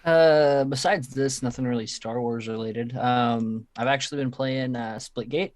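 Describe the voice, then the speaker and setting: A man's voice: mid-range voice, fairly even intonation, unhurried, no room sound. Easygoing young male co-host, dry sound. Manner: easygoing and a little hesitant. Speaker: podcast co-host. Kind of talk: casual podcast chat.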